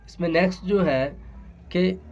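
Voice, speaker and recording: A man's voice: high-pitched, unhurried, a little mellow, slight background noise. A man with a Pakistani accent giving an explanatory law lesson, speaking hesitantly and repeating his phrases. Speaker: law lecturer. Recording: dull, lo-fi sound.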